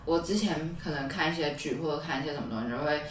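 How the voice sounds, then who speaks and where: A woman's voice: low-pitched, quick pace. A woman with a Taiwanese Mandarin accent, chatting casually as a podcast co-host. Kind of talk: casual chat. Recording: roomy echo, distant mic, music.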